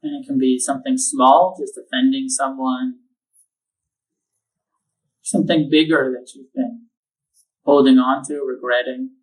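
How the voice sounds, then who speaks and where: A man leading a guided meditation, giving slow, calm instructions with long pauses. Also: mid-range voice, recorded from a distance, low-quality recording. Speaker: meditation teacher.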